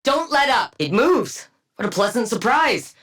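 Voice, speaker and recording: A woman playing a brilliant, dry-witted scientist villain-antihero in a video game, speaking in a clinical, deadpan and wryly bookish way. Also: on the high side, a little slow. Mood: urgent, commanding. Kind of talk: video game combat voice lines.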